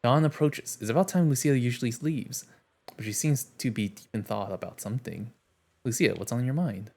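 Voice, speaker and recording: A man's voice: medium pitch, a little fast, vocal fry, a little mellow. A man streaming a game, reading its dialogue aloud in a casual, plain way, with small restarts and asides. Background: game music.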